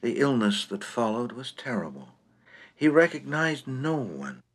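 A man's voice: mid-range voice, big pitch swings, unhurried, a bit bright, husky. A man narrating a comic short story in a droll, theatrical, precise manner.

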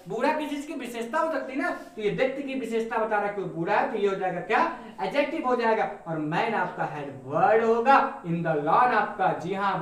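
A man's voice: very high-pitched, a bit bright. A young man teaching English grammar at a whiteboard, asking rhetorical questions and answering them himself, recorded from a distance in a room. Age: young adult. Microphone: camera at a distance. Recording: echoey room, voice off-mic.

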